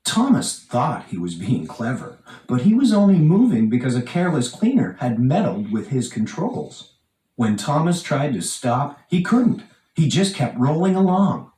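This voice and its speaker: A man reading a children's storybook aloud with expressive, dramatic emphasis. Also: mid-range voice, moderate pace. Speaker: storybook narrator. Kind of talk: animated read-aloud children's storybook narration. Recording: roomy, echoing, distant from mic.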